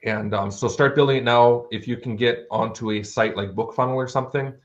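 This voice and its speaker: A man's voice: medium pitch, steady tone, brisk, lo-fi sound. A man chatting casually as an interview guest, explaining things in an informal, conversational way. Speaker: interview guest, fantasy author.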